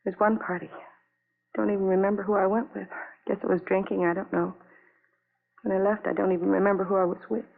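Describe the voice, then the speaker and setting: Lonely distraught wife in old radio drama, halting creaky voice, thin tinny sound. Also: female voice, medium pitch, little pitch movement. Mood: weary, confessing. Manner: halting, repetitive, fragmented sentences. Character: anguished lonely young wife confessing to police. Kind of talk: 1950s radio drama acting. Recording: tinny vintage radio broadcast recording.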